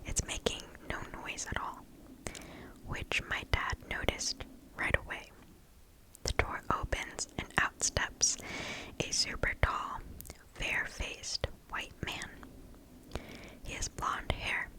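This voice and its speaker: A woman reads stories in an ASMR style, entirely in a breathy whisper. She sits close to the microphone and takes her time over each phrase. Her delivery is hushed and deliberate throughout. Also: poor audio. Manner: slow, hushed, deliberate storytelling. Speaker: ASMR host reading stories. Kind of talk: ASMR whispered story reading.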